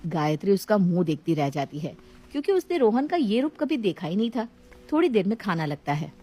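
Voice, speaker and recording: A woman narrates a scripted moral story as storyteller. Her voice has a medium pitch, and music plays behind it. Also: very animated, brisk, warm tone.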